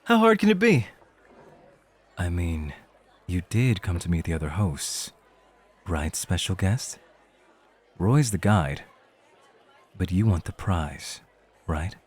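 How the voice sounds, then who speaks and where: A man's voice: low-pitched, very animated, takes it slowly, long pauses, husky, full character voice. A man playing a young rookie club host in an ASMR roleplay, casual and cheeky, with bravado over self-doubt. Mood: cheeky, bold.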